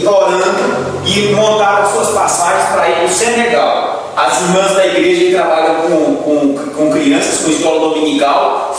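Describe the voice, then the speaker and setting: A man's voice: high voice, a bit bright. A Brazilian man, a deacon teaching Sunday school, earnestly sharing prayer requests and often turning to his listeners as brethren. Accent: Brazilian Portuguese. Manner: earnest, repeats phrases, addresses listeners as brethren. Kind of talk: church class announcements, prayer requests. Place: church classroom. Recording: echoey hall, distant mic, faint noise.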